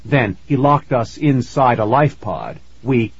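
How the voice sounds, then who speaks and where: A man's voice: medium pitch, slow pace, breathy edge, lo-fi sound. A man voicing an earnest, protective scientist father in a storybook, speaking emphatically. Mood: earnest relief.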